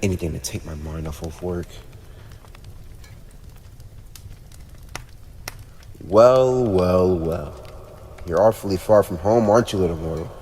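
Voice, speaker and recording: A man's voice: low-pitched, warm tone, lightly acted. A man voicing a haughty, teasing teenage demon lord with a playfully cruel streak, in an ASMR roleplay addressed to the listener. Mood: haughty, curious. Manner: haughty, teasing, theatrical, with drawn-out pauses. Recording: long reverb, audible background noise.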